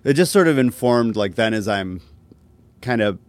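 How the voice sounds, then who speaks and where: Male musician guest, lower voice, relaxed and self-deprecating, conversational interview delivery with hesitant phrasing. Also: normal speed, no room sound. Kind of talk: casual interview chat.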